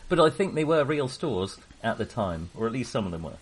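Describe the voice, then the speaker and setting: A man hosting a podcast, talking casually about films in a jokey, wry manner with a husky voice. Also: medium pitch, very animated, brisk, a little hiss or hum. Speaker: podcast host. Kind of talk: casual film discussion.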